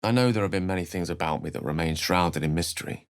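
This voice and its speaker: A man's voice: low voice, quick pace, smooth, no echo, clean studio sound. A man playing a refined, erudite wizard in a fantasy video game, speaking in an articulate, theatrical storyteller's manner. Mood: conversational, earnest. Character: refined, erudite male human wizard, eloquent and wry.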